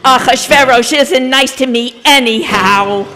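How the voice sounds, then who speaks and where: A woman's voice: high-pitched, a little slow. A woman singing children's puppet show songs. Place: social hall, live broadcast. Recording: echoey hall, music accompaniment. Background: musical instrument accompaniment.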